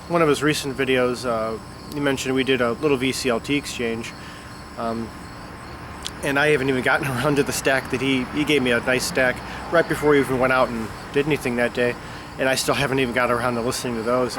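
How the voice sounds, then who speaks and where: Congested man chatting outdoors, full of fillers. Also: mid-range voice. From Chicago area. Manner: rambling, many "uh" and "you know" fillers. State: congested, stuffed up. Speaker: record-collecting vlogger. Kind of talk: casual unscripted chat to camera. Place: outdoors on a summer afternoon. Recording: outdoor insect and traffic noise.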